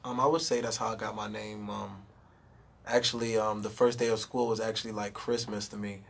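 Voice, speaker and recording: Husky laid-back young rapper. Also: male voice, lowish voice, little pitch movement, a little fast. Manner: laid-back, repeats "you know what I mean". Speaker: rapper guest. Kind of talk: casual interview chat. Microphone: handheld mic.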